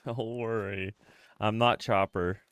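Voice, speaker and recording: Man, thirties, very low pitch, congested, casual livestream chat, dry close mic. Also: fairly even intonation, takes it slowly, fairly bright tone, clean tone, no echo. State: congested, sniffly. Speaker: game livestreamer. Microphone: close streaming microphone.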